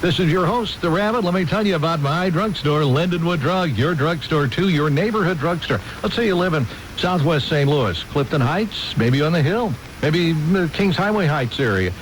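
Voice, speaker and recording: Older American radio DJ, higher-pitched, noisy broadcast. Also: male voice, animated, normal speed. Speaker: radio DJ and host of an oldies show. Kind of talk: casual DJ patter between oldies, with ad-libbed sponsor reads.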